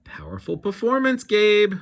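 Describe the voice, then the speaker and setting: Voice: medium pitch, wildly swooping pitch, normal speed, voice pushed up. A storybook reader voicing an exacting male monster boss in an emphatic, schoolmasterly manner. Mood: critical.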